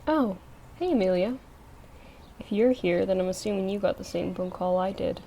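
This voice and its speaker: A woman's voice: mid-range voice, normal speed, warm tone, slight background noise, natural voice. A woman acting a hurt, hesitant young woman monster hunter in an audio drama. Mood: awkward.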